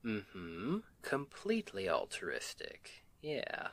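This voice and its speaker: A man's voice: fairly low pitch, wildly swooping pitch, slow pace, a bit bright, exaggerated voice. A man playing a sweet, weary Southern US husband and roofer in an intimate ASMR roleplay, with an affectionate, teasing, folksy drawl. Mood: curious, affectionate. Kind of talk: intimate ASMR roleplay, one side of a conversation.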